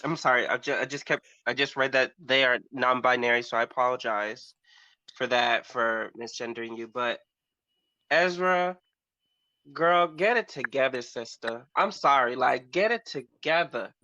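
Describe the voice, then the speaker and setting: A man's voice: mid-range voice, normal speed, pushed and bright, no room sound, dull audio. A man chatting casually as a panel host, with a sassy, blunt, slangy manner. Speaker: host on a pop culture panel show. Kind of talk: casual chat.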